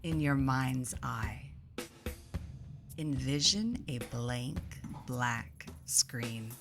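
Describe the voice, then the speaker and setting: A woman leading a guided meditation in a soothing, deliberate way, pausing between phrases, her soft voice turning breathy as phrases end. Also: extremely low pitch. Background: instrumental music.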